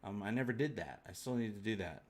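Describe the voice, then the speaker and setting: A man's voice: on the low side, lively intonation, fast, a little mellow. A man hosting a fan livestream, chatting casually and answering viewers in a rambling, jokey, colloquial way. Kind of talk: casual chat, answering live viewer comments.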